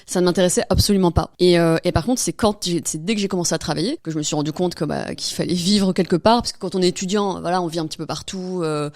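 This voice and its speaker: A woman's voice: on the low side, quick pace, hardly any pauses, a little mellow, no room sound, clean studio sound. A woman being interviewed as a podcast guest, telling her own story in casual, informal speech. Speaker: interview guest, real estate investor.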